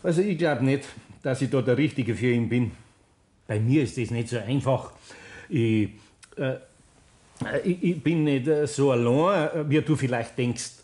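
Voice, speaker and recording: A man's voice: mid-range voice, measured pace, darkish tone, close to an everyday voice. An older man with a Bavarian accent playing a good-natured master carpenter in a 1960s German radio play, homely and hesitant. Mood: reluctant.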